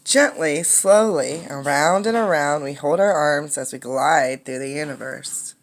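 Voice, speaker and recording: A woman reading a picture book aloud as a teacher, in an instructive way, pausing to explain and ask questions. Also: sits low.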